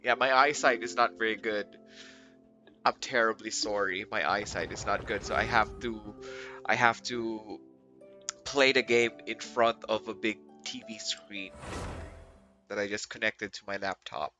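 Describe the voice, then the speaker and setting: A man's voice: lowish voice, fairly even intonation, unhurried, bright, forceful tone. A man chatting casually as he livestreams a video game, rambling and often rephrasing himself. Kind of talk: casual live stream chat. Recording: muffled lo-fi mic, faint music behind.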